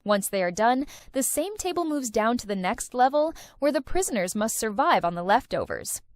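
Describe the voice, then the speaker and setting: A woman's voice: on the high side, very animated, normal speed, no echo, clean studio sound. A woman narrating a countdown of film and series recommendations in an enthusiastic, recommending tone. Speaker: video host. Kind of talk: scripted list-video narration.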